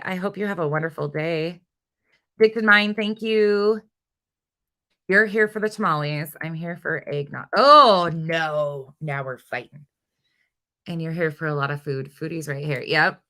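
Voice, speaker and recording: Low-pitched woman, bright voice. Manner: chatty, informal, giggly, jumps between replies. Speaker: livestream host. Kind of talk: casual live chat, reading and answering viewer comments.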